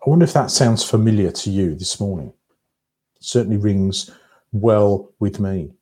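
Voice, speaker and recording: A man giving a reflective sermon in a measured, contemplative way, breaking his thoughts into short phrases. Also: lowish voice, dark tone. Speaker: preacher.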